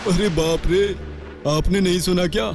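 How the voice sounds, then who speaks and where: A man acts a timid, evasive forest inspector in a ghost story. His high voice is excitable and cautionary, over clearly audible music. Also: moderate pace. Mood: fearful, warning. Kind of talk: Hindi horror audio story acting.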